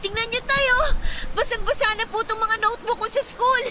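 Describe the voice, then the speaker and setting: A woman's voice: very high voice, steady tone, brisk. A Filipino woman playing an anxious, whiny teenage daughter in a radio drama, pleading and complaining dramatically. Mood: whiny, upset. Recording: loud storm ambience behind.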